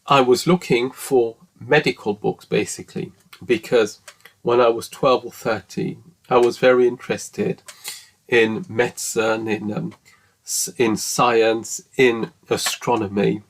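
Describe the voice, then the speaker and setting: A man in his forties speaks slowly, with a mid-pitched voice, and he is earnest. He hosts his own podcast as a solo monologue. He pauses often with ums and restarts his sentences. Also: a little hiss or hum, low-quality recording. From English-speaking, living in France. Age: 40s. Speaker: podcast host.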